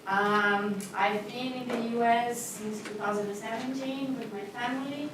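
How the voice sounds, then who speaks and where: A woman's voice: a little high, steady tone, measured pace, noisy background. A middle-aged woman from Brazil, introducing herself earnestly at a public meeting with a few fillers. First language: Portuguese. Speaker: community liaison. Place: large echoey meeting room. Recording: echoey room from a distance.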